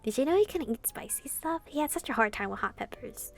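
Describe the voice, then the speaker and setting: A woman's voice: high voice, quick pace, creaky, soft background music. A woman reading a visual novel aloud expressively and commenting casually as she plays. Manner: animated reading, reacting informally to the story.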